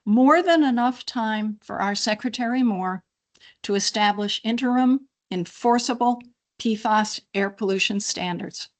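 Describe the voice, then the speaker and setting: A woman's voice: a little high, unhurried. A woman giving a prepared public comment at a hearing, firm and urgent while thanking the officials. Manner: firm, appreciative, urgent, reads from prepared remarks. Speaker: public commenter, local resident.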